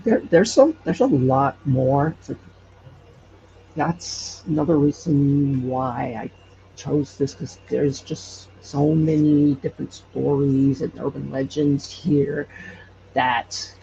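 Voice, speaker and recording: Halting man with a gravelly, fry-edged voice. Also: mid-range voice, dark tone, muffled recording, slight background noise. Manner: halting, hesitant with repeated false starts. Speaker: podcast creator and guest. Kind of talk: casual interview storytelling.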